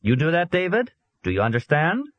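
A man's voice: a little high, wildly swooping pitch, fairly quick, lo-fi sound, pitched above the performer's own voice. A man doing a stern accented policeman. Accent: Swedish. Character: stern adult male UN policeman, brisk.

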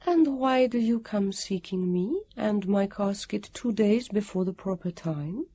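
A woman voicing a serene, otherworldly female guardian in an audiobook, soft and lilting with a trace of a foreign accent. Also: mid-range voice, animated, measured pace, slightly rough, no echo, voice pushed up. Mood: serene, smiling. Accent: foreign lilt. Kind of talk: audiobook character voice.

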